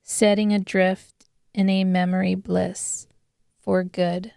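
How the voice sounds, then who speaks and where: Slow, smooth-voiced woman narrating a dark story. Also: mid-range voice, level tone, no echo, clean studio sound. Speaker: audiobook narrator. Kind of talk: scripted dramatic story narration.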